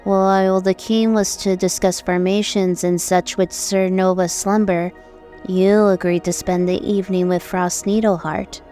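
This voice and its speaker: A woman playing a calm, unfazed, supportive female pony friend in a cartoon audio drama, over music. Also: mid-range voice, measured pace, natural voice. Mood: calm, questioning. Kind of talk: animated audio drama acting.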